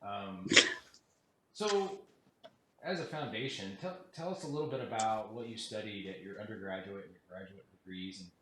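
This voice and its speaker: A man hosts a casual interview over a video call, asking friendly but halting questions full of fillers. His voice is fairly low and rings out in a very echoey room. Background noise sits clearly behind him. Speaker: interview host.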